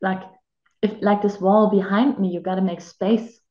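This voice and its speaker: A woman's voice: medium pitch, steady tone, normal speed, a little mellow. Native German woman in her 30s, chatty interview guest, roomy dull call audio. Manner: reflective, chatty, many filler "like"s. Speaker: actress and life coach. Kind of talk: casual video-call interview. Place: home room with bare wall. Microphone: video call.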